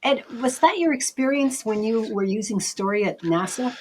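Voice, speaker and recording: A woman hosting an online interview in a reflective, conversational way, measured and thoughtful. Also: on the high side, expressive, darkish tone. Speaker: interview host. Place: online video call.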